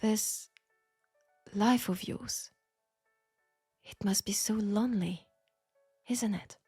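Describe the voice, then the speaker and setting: A woman with a French accent playing a frank, perceptive seamstress in an intimate steampunk audio drama, speaking softly and deliberately with pauses. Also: medium pitch, no room sound, soft background music, full character voice. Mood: earnest, compassionate. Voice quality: soft, breathy. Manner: hushed, deliberate, with pauses and breathy sighs. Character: frank, perceptive young Parisian seamstress, gently reproachful. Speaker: audio roleplay performer.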